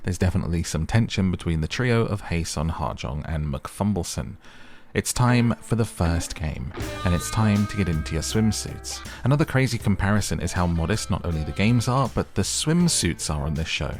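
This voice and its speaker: A man with a deep, low-pitched voice hosts a casual recap. He talks in a chatty way and slips wry asides into his scripted commentary. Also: lively intonation, normal speed, faint music behind. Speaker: host of a recap channel.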